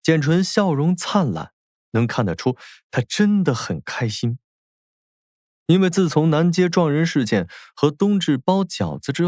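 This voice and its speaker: A man narrates a novel in a dramatic audiobook style. His voice sits a bit high and moves expressively, with faint music underneath. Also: darkish tone, no room sound.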